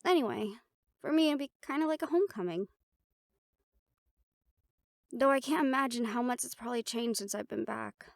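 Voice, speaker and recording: A woman voicing a playful, chatty half-siren, half-banshee in an intimate audio roleplay, talking casually and haltingly to a listener. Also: fairly high pitch, creaky, no echo, clean studio sound. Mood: wistful, chatty.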